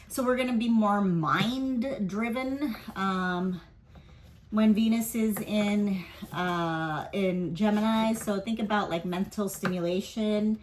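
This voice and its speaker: A woman's voice: medium pitch, a little hiss or hum. A woman giving a casual weekly tarot and astrology reading. She speaks candidly, rambles, leans on fillers and swears offhandedly.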